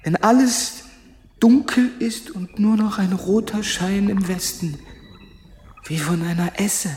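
An actor playing a troubled, humble soldier in a radio play, halting and feverish as he broods on his visions. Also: high voice, a little mellow. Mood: haunted, searching. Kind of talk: German-language radio play acting. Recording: long hall reverb, faint noise.

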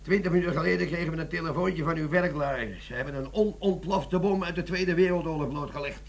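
An actor playing a gruff, bossy army bomb-disposal sergeant in a Dutch radio play. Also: male voice, fairly high pitch, fast. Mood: urgent, commanding.

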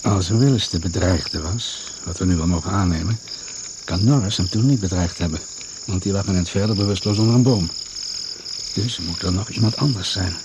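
A man's voice: low voice, brisk. An actor playing a shrewd, wry, fatherly older investigator in a Dutch radio drama. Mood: shrewd, probing. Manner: wry, reasoning step by step. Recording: noisy old radio play recording.

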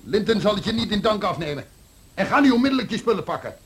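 An actor playing a cruel, domineering master of a house in a radio play, harsh, scornful and commanding. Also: male voice, high voice, expressive, quick pace.

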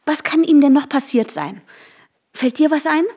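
An actress playing an anxious, insistent woman searching for her vanished lover, urgent and often hushed, in a radio drama. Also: sits high, lively intonation, moderate pace. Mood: urgent, pleading. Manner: urgent, questioning, often dropping to a hushed whisper. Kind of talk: radio drama dialogue and intimate first-person narration.

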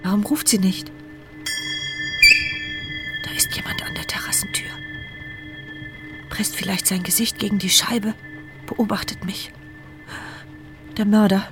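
An actress voicing a frightened woman in a wheelchair who narrates her own ordeal in a hushed, tearful first-person radio-play monologue. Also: medium pitch, fairly even intonation, unhurried, long pauses, in a whisper, natural voice. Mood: anxious, then terrified. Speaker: narrator and lead character. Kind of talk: radio drama inner monologue. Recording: radio play with loud music bed.

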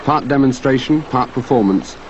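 A man's voice: mid-range voice, normal speed. A man giving scripted reporter's commentary, as in an archive news film. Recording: dull, noisy archive outdoor recording.

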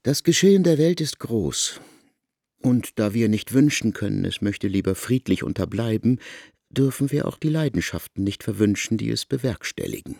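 A man narrating a literary radio drama in a measured, solemn way. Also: lowish voice, animated, vocal fry, warm tone, no echo, clean studio sound.

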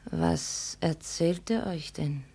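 An actress playing a proud but worried grown daughter in a German radio play, earnest and questioning. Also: female voice, low-pitched, steady tone, takes it slowly, vocal fry, warm tone, slightly rough, full character voice. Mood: curious, subdued.

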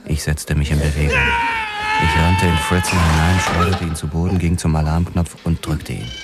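An actor playing a haunted, hard-boiled 1940s police officer who narrates a crime radio drama. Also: male voice, rumbling low, little pitch movement, moderate pace. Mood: haunted, grim. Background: prominent music.

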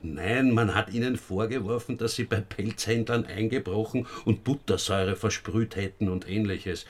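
An actor playing a brisk, matter-of-fact police investigator with an Austrian accent in a radio drama, businesslike and insistent. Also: male voice, fairly low pitch, natural voice. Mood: explaining, dry.

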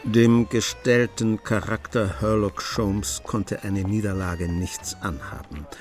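A man's voice: on the low side, fairly even intonation, slow, a little mellow, soft background music. A man narrating a crime comedy radio play as a composed storyteller with dry, understated wit. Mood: composed, wry.

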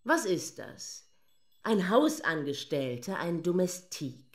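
An actress playing a sensual, grandiose middle-aged lady writer in a radio play, breathy and dramatic, breaking into whispers. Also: fairly low pitch, big pitch swings, slow, full character voice. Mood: mock indignant, seductive. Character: sensual, theatrical lady writer craving self-abasement. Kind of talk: German radio play acting.

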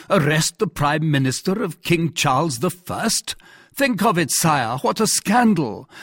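Storybook cardinal, read by a man: scheming, deferential, formal, measured phrasing, husky timbre, close dry sound. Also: on the high side, a bit bright, no room sound, voice pushed up, full character voice. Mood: cautioning. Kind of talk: dramatised classic novel reading.

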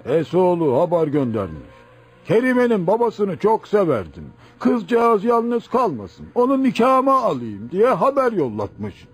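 A kindly old village uncle in a radio drama, with a husky, fairly high voice that swings widely. He sounds fatherly and concerned, with faint music behind. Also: wildly swooping pitch, unhurried, exaggerated voice. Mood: troubled, fatherly. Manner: fatherly, reluctant, sympathetic. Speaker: actor. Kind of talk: radio theater acting.

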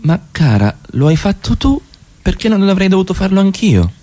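A man acting in a radio play as a suave, evasive middle-class husband who needles with halting, repeated, pointed questions. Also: high-pitched, very animated, mellow. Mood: cool, condescending.

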